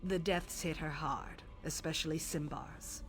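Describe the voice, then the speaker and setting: A woman voicing a cold, stern assassin matron in a fantasy game, speaking in measured, commanding phrases. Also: low voice, steady tone, faint music behind. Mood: cold, grave.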